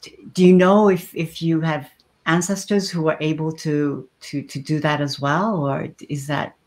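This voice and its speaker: A middle-aged woman hosts an interview over a webcam from a small room, her voice low. She asks her questions slowly and thoughtfully, working her way toward each point. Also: steady tone. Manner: slow, thoughtful, searching questions. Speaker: host. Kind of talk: video call interview. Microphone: webcam on video call.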